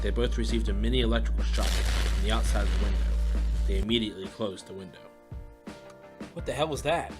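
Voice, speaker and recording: A man reading scripted story narration as the narrator of an audio drama. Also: fairly low pitch. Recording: music under the narration. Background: music.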